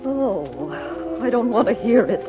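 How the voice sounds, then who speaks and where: A woman's voice: a little high, big pitch swings, slow pace, music in the background. An actress playing an anxious mother searching for her son, speaking fearfully in an old radio drama. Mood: frightened. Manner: fearful, breathless. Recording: muffled old radio transcription.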